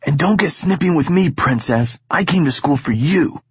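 A brash, teasing teenage boy who talks his friend into things, played by a male narrator. His voice sits high and a little loud, with a husky grain. The recording sounds a touch dull. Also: lively intonation, moderate pace, no room sound. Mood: pushy eager.

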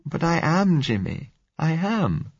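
A man's voice: mid-range voice, lively intonation, brisk, slightly rough, no echo, dull audio, pitched above the performer's own voice. A man reading an audiobook, voicing a frightened young woman who pleads anxiously.

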